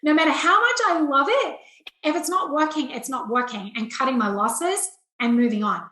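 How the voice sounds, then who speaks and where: Australian woman, slightly high voice, plain-spoken interview guest, conversational delivery, noticeably echoey recording. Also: normal speed, sharp tone. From rural Australia. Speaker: interview guest, investment banking executive. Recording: roomy, echoey sound.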